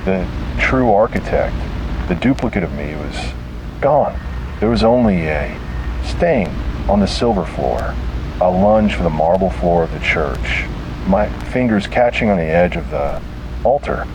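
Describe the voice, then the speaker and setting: Low, slow-talking man narrating horror. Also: big pitch swings, noisy background. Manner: grave, halting delivery with heavy pauses.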